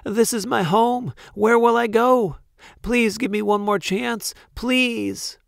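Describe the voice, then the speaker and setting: A man's voice: mid-range voice, animated, normal speed, no room sound, studio quality, lightly acted. A man voicing a humble, anxious village baker in a storybook, pleading and distressed. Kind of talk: storybook read-aloud character voice.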